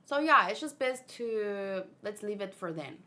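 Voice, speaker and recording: A woman's voice: mid-range voice, animated, measured pace, pushed and bright. A woman hosting a manga read-along, chatting in a rambling, informal way and swearing casually. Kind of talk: casual fan chat mixed with animated manga read-aloud.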